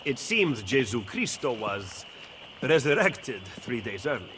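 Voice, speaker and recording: A man's voice: mid-range voice, sing-song, measured pace, fairly bright tone. Grave assassin statesman, heavily echoing. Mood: dry. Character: grave, eloquent Italian male assassin statesman. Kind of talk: dramatic video game acting. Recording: very echoey hall, some noise.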